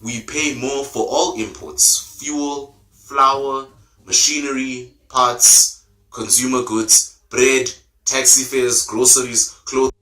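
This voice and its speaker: A man's voice: mid-range voice, fairly even intonation, takes it slowly, bright, forceful tone, echoey room, rough recording. A man giving impassioned commentary, declaiming with rising emphasis, in a clip played back on a stream. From South Africa.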